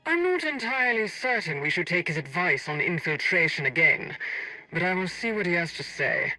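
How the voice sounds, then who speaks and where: An actor playing a formal, precise, dryly skeptical aide on comms in a video game. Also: male voice, high voice, lively intonation, moderate pace, pushed and bright, soft background music, exaggerated voice, rough recording. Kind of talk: video game cutscene acting.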